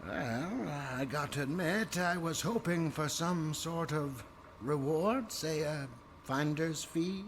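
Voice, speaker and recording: A man's voice: on the high side, slow, slight background noise. An actor playing a sly, greedy old man, a weaselly informant who wheedles his way through a space opera audio drama. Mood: sly, self-satisfied.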